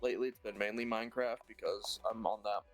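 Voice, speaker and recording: A man with a naturally deep voice talks as a guest on an online show. He is jokey and a little boastful and laughs easily, with faint music playing behind him. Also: steady tone, quick pace. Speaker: guest streamer. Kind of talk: casual interview chat.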